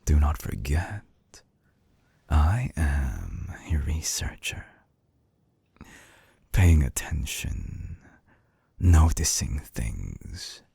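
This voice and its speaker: A man playing an obsessive, calculating mad-scientist villain in an intimate audio drama, coaxing in a husky half-whisper with slow, silky menace. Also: sing-song, warm tone, dry recording, full character voice. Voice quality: very deep, gravelly, husky, breathy half-whisper. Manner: slow, deliberate, silky menace with lingering pauses. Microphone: close binaural microphone, very dry.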